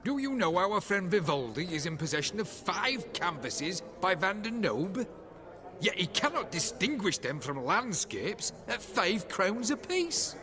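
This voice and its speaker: An actor playing a smug, haughty art connoisseur in a video game, florid and condescending. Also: male voice, sits high, big pitch swings, unhurried, crowd noise. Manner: pompous, condescending.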